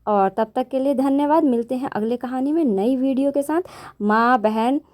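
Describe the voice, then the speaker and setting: A woman's voice: sits high, moderate pace, darkish tone. A woman hosting and narrating a moral story in a conversational way, correcting herself as she goes. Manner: conversational, with restarts and self-corrections. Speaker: storyteller host.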